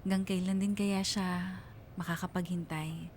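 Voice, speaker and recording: A woman's voice: on the low side, flat delivery, a little slow, a little mellow, a little hiss or hum, lightly acted. A woman playing a young woman who narrates her own story of love and dreams in a radio drama. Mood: wistful.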